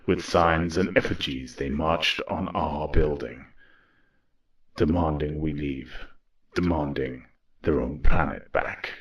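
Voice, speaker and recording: A man's voice: very deep voice, slow pace, heavily acted. A man playing a weary robot war leader, narrating an audio drama in a grave, solemn manner. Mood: grave, regretful. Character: weary male robot war leader recounting his downfall.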